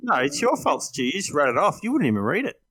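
A man's voice: fairly high pitch, very animated, fast talker, over a noisy crowd. A man talking bluntly and colloquially on a casual sports podcast. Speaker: podcast panellist.

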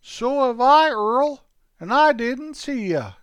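A man's voice: very high-pitched, animated, takes it slowly, clear, resonant tone, no room sound, voice pushed up, heavily acted. A man voicing Forky, an eager, cheerful young boy who knows the tricks and keeps calling his pal by name. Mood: cheerful, proud. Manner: ends nearly every sentence with his pal's name.

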